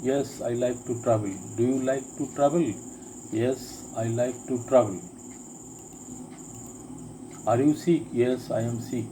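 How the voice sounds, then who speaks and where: A man teaching a spoken lesson, reading each drill sentence twice with deliberate care. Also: medium pitch, steady tone, dark tone, a little hiss or hum, poor audio. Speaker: English teacher. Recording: crickets and insects chirping behind.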